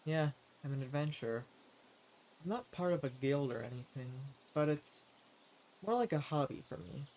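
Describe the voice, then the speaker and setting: A man playing a gentle, level-headed wandering adventurer in an audio roleplay, speaking haltingly and directly to the listener. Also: on the high side, expressive, a little hiss or hum. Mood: gentle, reassuring.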